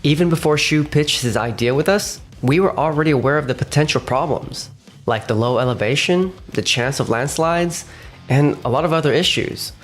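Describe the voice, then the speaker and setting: A man voicing a calm, businesslike young male realty manager in a fan manga dub, who also narrates, measured and firm. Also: fairly high pitch, lightly acted. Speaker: narrator and lead voice actor. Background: faint music.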